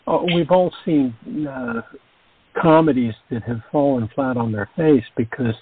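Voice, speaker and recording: A man chatting in an interview over a phone, speaking in halting, deliberate fragments. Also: mid-range voice, lively intonation, gravelly, husky, dry recording. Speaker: cast member. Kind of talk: casual interview chat. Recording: noisy, clicking, tinny phone line.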